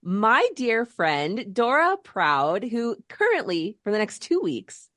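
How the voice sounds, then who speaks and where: A woman with a fairly low voice hosts a podcast and speaks in a measured, welcoming way. The sound is dry and close, with no room around it. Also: dry recording. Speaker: podcast host. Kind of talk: formal welcoming introduction.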